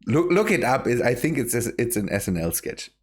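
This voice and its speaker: A man's voice: medium pitch, sing-song, normal speed, slightly rough. A man hosting a casual game stream, laughing often and restarting his sentences. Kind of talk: casual gaming chat.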